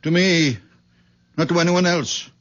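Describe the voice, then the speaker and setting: Very old man, stubborn, terse father for radio drama, male actor; higher-set voice, lo-fi sound. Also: measured pace, close to an everyday voice. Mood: stubborn, indignant. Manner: terse, insistent, repeats himself for emphasis. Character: very old father, stubborn, clinging to his dead infant. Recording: dull lo-fi old radio recording.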